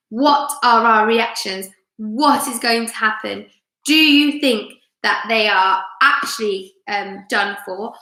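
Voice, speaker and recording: A woman who works as a teacher, reading a story aloud on a live stream and chatting expressively with her young listeners. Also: on the high side. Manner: expressive, asks listeners questions. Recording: roomy, echoey room sound.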